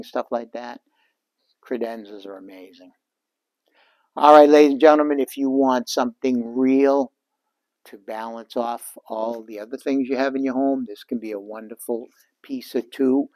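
A man's voice: medium pitch, darkish tone, dry recording. Older man giving an upbeat showroom tour. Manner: enthusiastic salesman patter, addresses viewers directly. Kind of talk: walking showroom tour presentation. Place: large indoor furniture showroom. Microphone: lapel mic.

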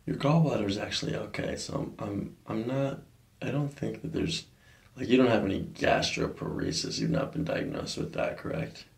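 A man's voice: lowish voice, huge pitch swings, normal speed, gravelly. A man in his thirties, a chiropractor, asking casual clinical intake questions. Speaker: chiropractor interviewing patients. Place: small carpeted treatment room. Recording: roomy small-office sound.

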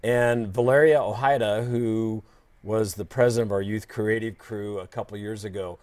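Relaxed older man, low voice. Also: normal speed. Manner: relaxed, hesitant with many "um"s. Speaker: arts nonprofit executive director. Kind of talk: studio TV interview. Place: TV studio set, indoors.

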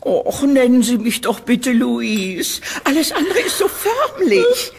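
A woman playing a sweet, frail elderly landlady in a horror audio drama, fussy and cooing, with a husky voice. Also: on the high side, very animated, slow pace, noisy background, exaggerated voice. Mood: motherly, insistent.

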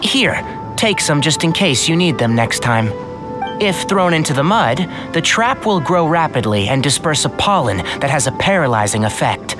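An actor voicing a caring, wry young scholar in a video game, articulate and gently chiding. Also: male voice, on the high side, big pitch swings, moderate pace, over music. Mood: wry, concerned. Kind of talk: English game voice acting.

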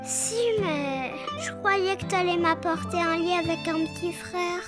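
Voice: extremely high pitch, fairly even intonation, measured pace. A performer voicing an eager, kind little boy bear cub in a preschool cartoon, earnest and childlike. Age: child character. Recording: music behind the voice.

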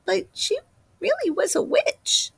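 A woman voicing an eager, excitable little red chicken, a young girl who pleads and exclaims, in a storybook reading. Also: very high voice, slow, creaky, dry recording, voice pushed up, exaggerated voice. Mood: sheepish.

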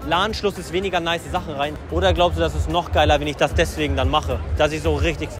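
Young man, higher-pitched casual vlogger. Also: steady tone, fast, over music. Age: 20s. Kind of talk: casual chat to camera.

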